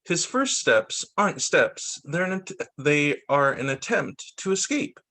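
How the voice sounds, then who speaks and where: High-pitched, lively man reading aloud. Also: unhurried. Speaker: teacher reading picture books. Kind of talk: read-aloud story time for children.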